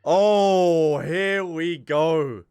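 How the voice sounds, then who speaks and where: A man reacting casually and excitedly as he streams a video game, exclaiming as he goes. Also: a little high, very slow, smooth, no room sound. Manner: animated, exclaiming, chuckling. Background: video game music.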